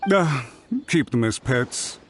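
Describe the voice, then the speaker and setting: A man's voice: medium pitch, lively intonation, takes it slowly, soft background music. An actor playing a proud, scheming male clan official in a game, speaking in a haughty, indignant way. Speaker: game character. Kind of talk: video game cutscene voice acting.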